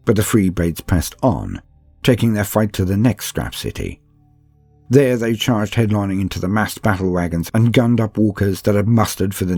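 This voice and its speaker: A man with a deep, husky voice narrates war lore in a calm and steady way. His reading is measured and scripted, like a bedtime audiobook. The recording is close and dry, with faint music behind him. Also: no room sound. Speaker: narrator reading a campaign book. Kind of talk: calm sleep-aid reading.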